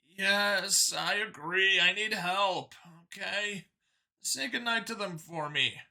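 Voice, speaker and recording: A man voicing an anxious alien father who pleads and gushes haltingly in a strained voice, for a story. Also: creaky, pushed and bright, exaggerated voice. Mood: defeated, apologetic. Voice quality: high, strained voice. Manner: halting, hesitant, gushing and apologetic by turns.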